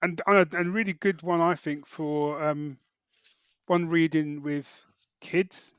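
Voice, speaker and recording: A middle-aged British man chatting casually as a podcast co-host, halting and correcting himself as he goes. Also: fairly high pitch, fairly even intonation, a bit bright, clean tone, no room sound. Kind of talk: casual chat, geek reviews.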